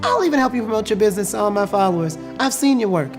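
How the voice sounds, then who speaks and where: A woman acting as a supportive drag queen customer in a scripted inspirational short drama, with a theatrical, affirming, deliberate delivery. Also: mid-range voice, expressive, over music, lightly acted. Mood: encouraging.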